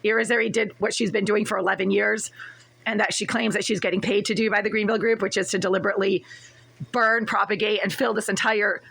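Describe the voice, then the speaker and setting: A woman with a gravelly, husky voice that sounds short of breath. She piles up accusations in long, relentless lists, agitated and insistent, as she records herself in a cramped apartment bathroom. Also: medium pitch, flat delivery, fast talker, fairly bright tone. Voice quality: raspy. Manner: agitated, relentless, repetitive listing. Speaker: tenant recording a complaint. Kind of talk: angry first-person complaint vlog. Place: small apartment bathroom, then hallway.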